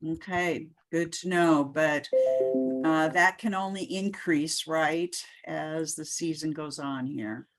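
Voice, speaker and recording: A woman hosting a conference call in an informal, halting way. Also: low-pitched, fairly even intonation, faint music behind. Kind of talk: informal meeting moderation.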